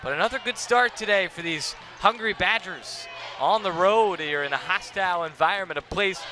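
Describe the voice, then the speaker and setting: Young male basketball play-by-play announcer, high voice, punchy projection, noisy gym behind. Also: normal speed, bright, forceful tone. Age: young adult. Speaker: play-by-play commentator. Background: basketball arena noise.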